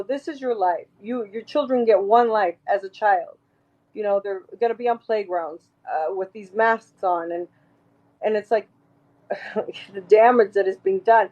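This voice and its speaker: A woman with a raspy voice, talking emphatically as an interview guest, rambling and restarting her sentences. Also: medium pitch, highs cut off. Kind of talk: casual interview talk.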